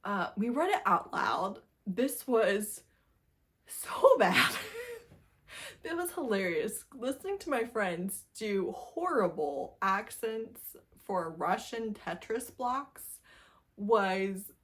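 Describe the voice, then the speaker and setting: A woman's voice: medium pitch, big pitch swings, slow, a bit bright. A woman giving a casual book review in a giggly, gushing way, often repeating words for emphasis. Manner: giggly, laughs often while talking. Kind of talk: casual monthly reading wrap-up chat.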